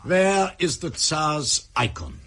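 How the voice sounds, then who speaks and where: A man's voice: on the high side, lively intonation, slow pace, creaky, a bit bright, breathy edge, exaggerated voice. An actor playing a sadistic, coolly courteous Soviet doctor who lectures his victim with precise, menacing politeness in a radio drama.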